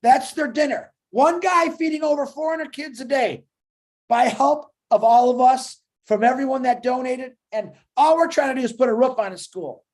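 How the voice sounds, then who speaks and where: High-voiced woman giving a fervent pep talk. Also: moderate pace. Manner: emphatic, with bursts of loud exclamation; repeats for emphasis, punctuates with "all right" and "okay". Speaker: motivational speaker. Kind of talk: impassioned motivational talk.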